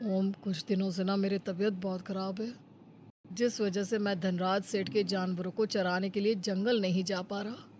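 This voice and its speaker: A woman's voice: medium pitch, steady tone, normal speed, a little mellow, a little hiss or hum, natural voice. A woman voicing a cunning, lazy, greedy village man in a Hindi moral-story cartoon, coaxing and wheedling. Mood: wheedling, pitiful.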